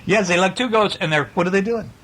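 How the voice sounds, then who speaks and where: A man's voice: sits high, fast, fairly bright tone. A man talking casually as a father with his small children over a picture book, coaxing them with questions and praise. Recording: noisy home recording with clicks.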